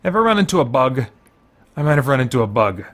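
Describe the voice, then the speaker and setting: A man's voice: mid-range voice, sing-song, fast talker. A middle-aged man hosting a gaming show, giving casual commentary and thinking aloud in a rambling way.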